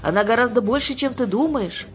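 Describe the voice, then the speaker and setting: Женщина изображает властную, хитрую ведьму-гадалку. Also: female voice, on the high side, expressive, brisk, soft background music, voice pushed up, close to an everyday voice. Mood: ominous. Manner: brusque, mysterious, colloquial. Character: bossy, cunning witch fortune-teller woman, gruff and theatrical.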